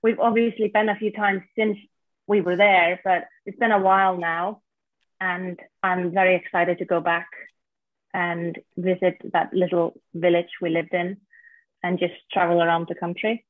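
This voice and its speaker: A woman's voice: lowish voice, normal speed, no room sound. Icelandic woman on a tinny line. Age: 30s. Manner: relaxed, plainspoken storytelling. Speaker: guest. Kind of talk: casual interview chat. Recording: dry, tinny phone-like line.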